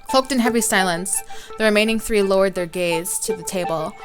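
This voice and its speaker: A woman's voice: mid-range voice, brisk. A woman hosting a let's play, reading a visual novel aloud informally, with some stumbles and game music behind her.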